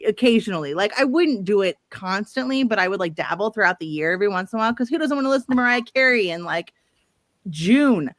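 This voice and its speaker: A woman's voice: mid-range voice, animated, quick pace, no room sound. Woman from illinois hosting a book stream, chatty, reads out viewer comments, dry close sound. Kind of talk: casual group chat.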